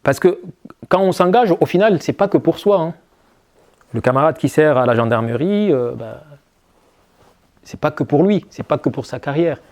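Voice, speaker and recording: A man's voice: on the high side, animated, moderate pace, pauses often, a little mellow. A man as an interview guest, answering reflectively with many hesitations and restarts. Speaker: guest, army officer.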